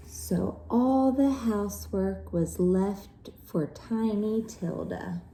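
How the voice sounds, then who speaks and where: Very mellow woman reading a children's story. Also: medium pitch, slow, a little hiss or hum, rough recording. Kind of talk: expressive scripted storybook narration.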